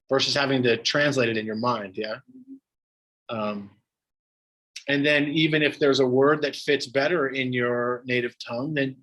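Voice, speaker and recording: A man's voice: medium pitch, low-quality recording. A man giving a casual dharma talk and answering questions from a live audience as a meditation teacher. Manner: relaxed, casual, conversational, many fillers.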